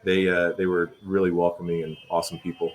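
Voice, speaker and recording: A man's voice: low-pitched, flat delivery, fast. A man in his thirties, an assistant volleyball coach, talking casually in an interview with many fillers and restarts. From Portugal.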